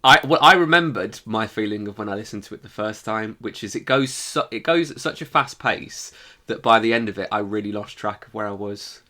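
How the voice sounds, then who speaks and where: A man's voice: on the low side, fairly quick, hardly any pauses, sharp tone. A man co-hosting a podcast, chatting casually and informally in review style, with the odd swear word. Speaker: podcast co-host.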